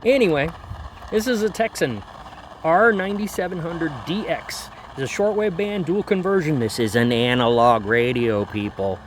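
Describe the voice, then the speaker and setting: A man with a slightly high voice gives a casual, chatty demonstration outdoors. The recording carries clear background noise. His delivery is offhand, like a homemade product review, with folksy turns of phrase. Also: normal speed. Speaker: radio reviewer, vlogger. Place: outdoors in light rain.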